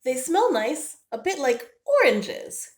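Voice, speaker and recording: A woman voicing a small, excitable and tender squirrel in a children's storybook, with expressive, exclamatory delivery. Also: high-pitched, slow, pitched above the performer's own voice, heavily acted. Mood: curious. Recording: roomy, echoing indoor sound.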